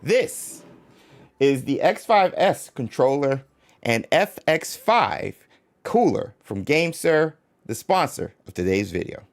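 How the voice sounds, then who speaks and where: A man hosting a livestream, chatting casually and reading sponsor spots, often halting and repeating himself. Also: medium pitch, big pitch swings. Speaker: livestream host.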